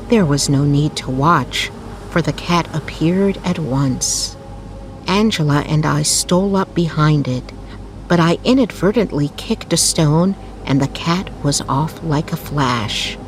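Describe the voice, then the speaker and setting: Slow, low-voiced woman narrating a bedtime story. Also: faint music behind. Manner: measured, literary read-aloud delivery.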